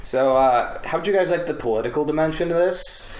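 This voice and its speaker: A man's voice: a little high, brisk. A man in casual, joking conversation with friends as a podcast panelist. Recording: echoey room, faint background noise.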